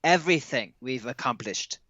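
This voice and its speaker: Man acting a cold, stern Soviet scientist, animated pitch. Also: normal speed, no room sound, highs cut off. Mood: stern, condescending. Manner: stern, melodramatic. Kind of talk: audio horror drama acting.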